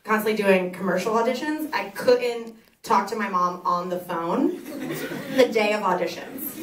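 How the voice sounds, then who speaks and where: A woman's voice: mid-range voice, moderate pace. A young woman with a Southern US accent answering audience questions on a theater stage into a handheld mic, chatty and self-mocking, laughing often. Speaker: author at a book signing. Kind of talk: live audience Q&A. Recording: echoey hall, heard from far off. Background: crowd noise.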